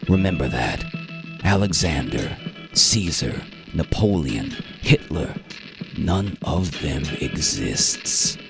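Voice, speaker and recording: Stern safari boss, voiced by a male narrator: very low, gravelly, blunt and commanding radio drama delivery, music underneath. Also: sing-song, slow, heavily acted. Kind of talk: radio drama character reading.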